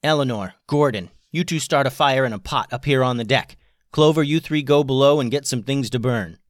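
A man voicing a bold, fiery young girl with magic powers, who talks loudly and impatiently in a storybook reading. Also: mid-range voice, expressive, moderate pace, no echo, studio quality, voice pushed up, lightly acted. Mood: commanding. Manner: loud, exclaiming, impatient.

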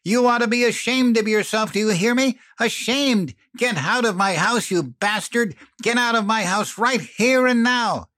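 A man's voice: high voice, moderate pace, hardly any pauses, bright, forceful tone, dry recording, pitched above the performer's own voice. A man voicing a grieving widowed mother who screams bitter insults in a fury. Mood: furious.

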